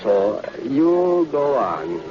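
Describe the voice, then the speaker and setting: Polite, watchful restaurant man, animated pitch. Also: extremely slow, highs cut off. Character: courteous, watchful male restaurant owner, loyal ally. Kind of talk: 1940s radio adventure acting. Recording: noisy, band-limited old radio recording.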